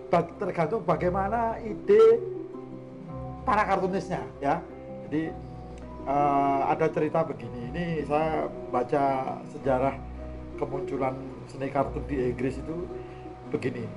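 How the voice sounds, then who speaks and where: Guy guest explaining stuff casually, bit high voice, halting, guitar music going behind him. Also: steady tone. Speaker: guest speaker on cartooning. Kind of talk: informal explanatory talk.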